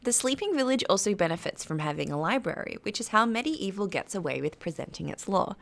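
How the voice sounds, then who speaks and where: A woman with a British accent reads a scripted video game review in an articulate, measured, critical manner. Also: medium pitch, expressive, creaky. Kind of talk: scripted narration. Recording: faint background noise.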